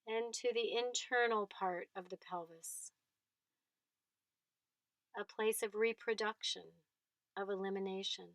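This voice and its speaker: A woman leading a guided body scan meditation, speaking in gentle short phrases with long pauses between them. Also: mid-range voice, fairly even intonation, dry recording.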